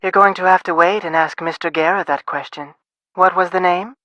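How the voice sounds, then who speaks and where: A woman voicing a brisk, guarded parole office receptionist in a game, with a clipped, businesslike manner. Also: on the low side, steady tone, background noise, lightly acted. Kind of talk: scripted game phone dialogue.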